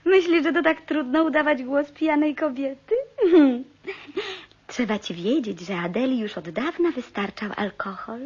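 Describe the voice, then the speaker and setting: A seductive, calculating nurse-mistress from a radio play. Her high voice is breathy and coaxing, with a ruthless edge beneath it. The recording sounds dull and muffled. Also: measured pace, pauses often, a little mellow. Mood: gloating, calculating. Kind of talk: radio drama acting.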